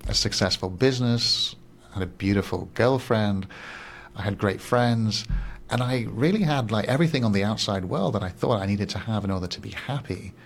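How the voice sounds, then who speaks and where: A Swiss-born man in his thirties, a guest coach on a radio interview, speaking in a soft, reflective way and often repeating words as he gathers his thoughts. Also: fairly low pitch, normal speed, vocal fry, slight background noise. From Switzerland.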